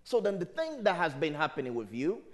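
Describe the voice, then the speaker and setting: Male spiritual speaker, Liberian accent and native dialect speaker. Fairly high, very lively pitch, emphatic motivational sermon delivery. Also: a little fast. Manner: emphatic, rhetorical, questioning the audience. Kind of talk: motivational sermon to a live audience.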